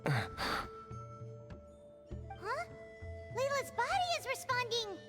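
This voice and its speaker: A woman voicing a small, childlike fairy companion in a video game, squeaky, chirpy and inquisitive. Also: huge pitch swings, a little fast, full character voice. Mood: excited. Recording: music behind the voice. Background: game music.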